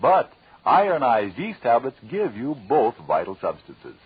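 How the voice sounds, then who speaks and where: A man announcing on 1940s radio, delivering story links and sales pitches with dramatic emphasis. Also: wildly swooping pitch, measured pace, breathy edge, no room sound. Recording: dull, lo-fi old radio recording.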